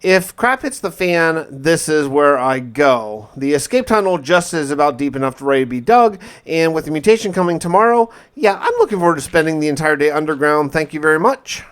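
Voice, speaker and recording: A man's voice: a little high, lively intonation, a little fast, few pauses, clear, resonant tone. A man narrating a gaming video in a casual, conversational first-person style with a mildly wry manner. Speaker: gaming video host narrating a survival playthrough.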